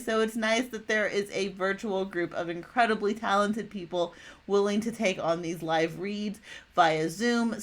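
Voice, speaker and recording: An American woman, slightly tipsy, talking in a casual, chatty, enthusiastic way as she makes an impromptu video announcement. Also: mid-range voice. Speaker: playwright announcing own show on video channel. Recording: music playing behind the voice. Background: music.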